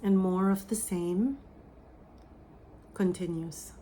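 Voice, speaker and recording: Filipino woman medium, lowish voice, unhurried meditative delivery, soft pauses, channeling tone. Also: a little hiss or hum. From Philippines. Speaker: psychic medium. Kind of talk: oracle reading and channeled message.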